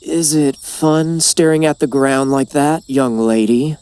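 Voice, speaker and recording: A man's voice: a little high, measured pace, darkish tone, smooth, no echo, close to an everyday voice. A man playing a confident, roguish chief of traveling merchants in an anime dub, teasing and persuasive like a showman. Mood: teasing, friendly. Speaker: voice actor in an anime fan dub. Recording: music behind the voice. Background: music.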